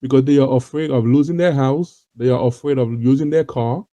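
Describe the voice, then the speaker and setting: A man's voice: medium pitch, brisk, warm tone, no room sound. A man from Haiti with a Haitian accent, talking earnestly to his viewers in an informal monologue, full of fillers and repetitions. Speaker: host of an online talk show. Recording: dull lo-fi dry recording.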